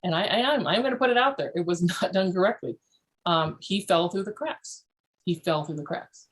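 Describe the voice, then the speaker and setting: A woman's voice: lowish voice, fairly quick. A woman hosting a parenting podcast as a family therapist, leading a group discussion and repeating phrases for emphasis.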